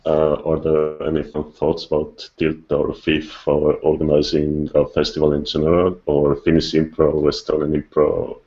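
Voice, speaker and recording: A man's voice: rumbling low, monotone, moderate pace, hardly any pauses, mellow, dull audio. A man hosting a podcast, casually moderating the discussion. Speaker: podcast host.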